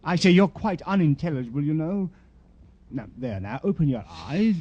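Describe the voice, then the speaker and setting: Prim English valet, muffled old recording. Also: male voice, fairly high pitch, big pitch swings, moderate pace, darkish tone, a little hiss or hum. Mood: prim, chiding. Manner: prim, formal, fussy. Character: prim, fussy English valet to a wrestler, politely supercilious. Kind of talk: 1950s radio crime drama acting.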